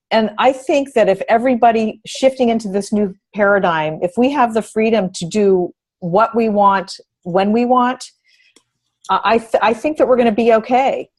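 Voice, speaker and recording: A woman panelist making casual, unscripted remarks, effusive and repeating phrases for emphasis. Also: mid-range voice.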